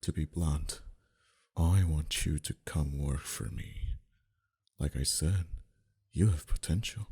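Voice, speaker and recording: A man playing a smug, flirtatious and menacing mafia boss in an intimate ASMR roleplay, dominant and teasing, sometimes dropping to a breathy whisper. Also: takes it slowly, heavily acted. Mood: persuasive, sly. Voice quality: very deep, dark, husky.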